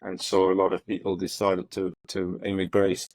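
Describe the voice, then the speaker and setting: A middle-aged man from Ethiopia, telling his story as an interview guest in a halting way, with many fillers and repeated words. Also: sits low, completely flat delivery, a little mellow. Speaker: interview guest, retired prosecutor. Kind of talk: relaxed reflective storytelling.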